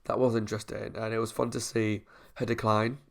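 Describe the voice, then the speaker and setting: A man's voice: lowish voice, level tone, brisk. A man, a YouTube reviewer talking through his opinions in a casual monologue, thinking aloud as he weighs them.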